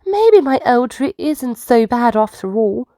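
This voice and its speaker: A woman's voice: on the high side, big pitch swings, a little slow, mellow, dry recording, clean studio sound, pitched above the performer's own voice. A woman voicing a curious, restless little girl sloth in a children's storybook, eager and piping. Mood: disappointed. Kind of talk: children's storybook read-aloud.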